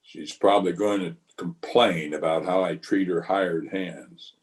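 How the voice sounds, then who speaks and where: A man's voice: low-pitched, steady tone, a little slow. A man playing a grave, conscience-stricken frontier marshal in an old-time radio western, speaking plainly and wearily. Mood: dry, weary. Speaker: actor and narrator in an amateur radio play. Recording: voice recorded over an internet call.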